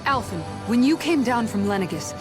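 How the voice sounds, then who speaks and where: A woman's voice: a little high, lively intonation, normal speed, over music, natural voice. A woman playing a young, level-headed, observant adventurer in a fantasy video game cutscene. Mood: thoughtful. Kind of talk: English dubbed video game cutscene acting.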